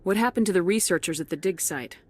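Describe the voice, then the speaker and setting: A woman acting a steady, resolute military commander in a video game, composed and authoritative. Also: on the low side, quick pace, natural voice. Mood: businesslike.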